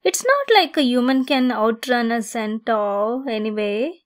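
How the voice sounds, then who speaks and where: A woman playing a possessive centaur captor, bossy yet caring, in an ASMR roleplay monologue with a deliberate, teasing delivery. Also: a little high, lively intonation. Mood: smug, coaxing.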